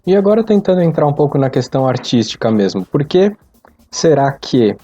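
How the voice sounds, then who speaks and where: A man's voice: a little high, big pitch swings, a little fast, warm tone, highs cut off, faint music behind. A Brazilian man in casual podcast discussion, rambling and hesitant as he corrects himself. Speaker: podcast participant.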